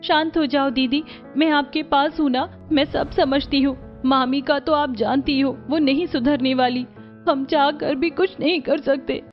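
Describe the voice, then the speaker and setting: A woman's voice: high-pitched, steady tone, measured pace, lo-fi sound, faint music behind. A woman voicing a caring, earnest little sister in a family cartoon, girlish and protective. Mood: concerned, earnest. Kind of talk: animated family story dialogue.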